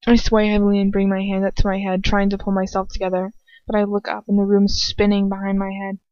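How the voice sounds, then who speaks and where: A woman's voice: medium pitch, completely flat delivery, fast talker, a little mellow, dry recording, highs cut off. A woman narrating a first-person story as an amateur audiobook reader, now and then stumbling and retaking a line.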